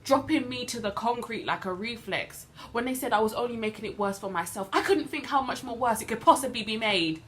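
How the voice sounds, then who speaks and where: A woman performing a dramatic monologue as a bitter, wronged young woman recounting events in a deliberate, halting way with emphatic repetition. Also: sits low. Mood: angry, indignant. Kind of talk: dramatic stage monologue, self-taped. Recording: noticeable background noise.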